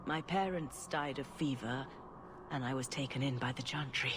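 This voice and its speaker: A woman playing a patient, elderly high priestess in a video game, speaking in a measured, gentle and solemn way. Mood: gentle, reminiscing. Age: older. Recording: clear ambient noise behind voice.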